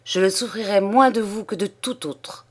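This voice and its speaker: Woman doing a cool, steady young noble guy, polite but firm, audiobook read. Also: fairly low pitch, moderate pace, close to an everyday voice. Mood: firm, warning. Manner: quiet, composed, turning firm and menacing. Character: calm, dignified young nobleman, unshakably firm.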